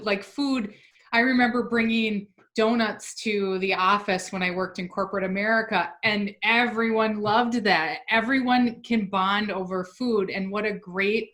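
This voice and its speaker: A woman hosting an interview, upbeat and agreeable, talking quickly. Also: on the high side, sharp tone. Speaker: podcast host. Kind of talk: interview conversation.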